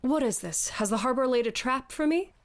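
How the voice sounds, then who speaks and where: A woman's voice: high-pitched, lively intonation, a little fast. An actress playing a sardonic, lovelorn woman aboard a tall ship in an audio drama, sarcastic and given to dramatics. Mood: melodramatic, forlorn.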